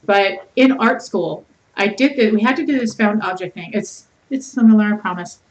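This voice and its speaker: A woman with a mid-pitched mezzo-soprano voice hosts a casual interview. She talks animatedly and bluntly, swears freely, and laughs often. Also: moderate pace, muffled recording. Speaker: podcast host, interviewer.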